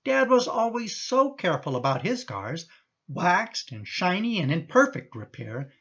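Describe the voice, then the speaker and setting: Man acting as exasperated, questioning son, swooping pitch. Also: big pitch swings, unhurried, vocal fry, fairly bright tone, husky, highs cut off, exaggerated voice. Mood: disapproving. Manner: questioning, insistent. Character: exasperated, judgmental adult son of an elderly mother. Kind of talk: radio play acting.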